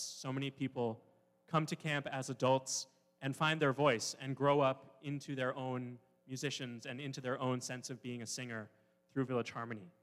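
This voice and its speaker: A man in his twenties, from Wisconsin, introducing a song at a choir concert in a relaxed, unscripted way with a few fillers and self-corrections. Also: medium pitch. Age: 20s. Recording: reverberant hall.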